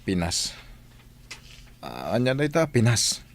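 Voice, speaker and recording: A man's voice: mid-range voice, very animated, measured pace. A man playing a confused, polite amnesiac in an audio drama, speaking hesitantly and deferentially. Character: confused, polite man who lost his memory.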